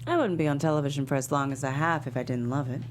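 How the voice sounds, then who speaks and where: A woman playing a famous female talk show host, weary but warm and proud, in a screenplay table read. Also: sits low, fast talker, a little hiss or hum, close to an everyday voice. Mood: sincere, weary.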